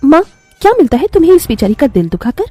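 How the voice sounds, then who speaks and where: A woman's voice: high-pitched, big pitch swings, fast talker, mellow, no echo, soft background music. A woman playing a kind young bride and protective sister in a cartoon melodrama, earnest and tender. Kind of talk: Hindi animated melodrama acting.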